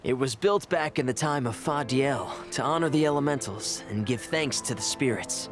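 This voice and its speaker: A man's voice: mid-range voice, moderate pace, natural voice. Composed, driven fantasy schemer, acted by a man, music behind. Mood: calm, instructing. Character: driven, scheming adult male ritual caster, outwardly composed. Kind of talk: fantasy video game voice acting. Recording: music under the voice. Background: game music.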